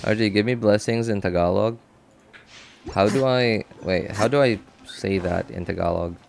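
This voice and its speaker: Low-voiced man thinking aloud. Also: gravelly, slight background noise. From Philippines. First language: Cebuano. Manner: halting, thinking aloud with many fillers and restarts. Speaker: game streamer. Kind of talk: casual live-stream chat.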